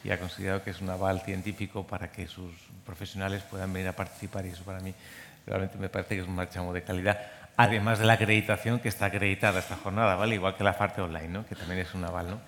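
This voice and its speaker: A man's voice: sits low, level tone, fast, a bit bright, a little hiss or hum. A man coordinating a medical training program gives a rambling conference talk, full of restarts and repeated words. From Madrid. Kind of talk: conference speech. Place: conference hall. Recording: long hall reverb.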